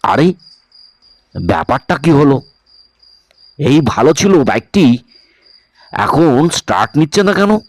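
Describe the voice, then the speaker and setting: A man's voice: fairly high pitch, big pitch swings, slow pace, pauses often, exaggerated voice. A man voicing an uneasy young man in a cartoon story, talking to himself in a puzzled, worried way. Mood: puzzled annoyance. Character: uneasy young man stranded alone at night.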